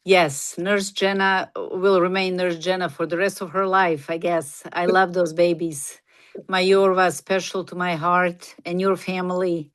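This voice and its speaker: A woman's voice: fairly low pitch, little pitch movement, a little slow. A middle-aged woman from Bosnia, a native Bosnian speaker, talking casually as an interview guest. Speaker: podcast guest, nurse practitioner and clinic founder.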